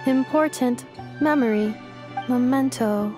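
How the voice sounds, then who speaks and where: Polite, literal-minded robot girl, higher voice. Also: takes it slowly, music in the background. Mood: flat, inquisitive. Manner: stilted, formal, emotionless robotic phrasing. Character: polite literal-minded female robot relearning how to converse. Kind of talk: scripted video game dialogue.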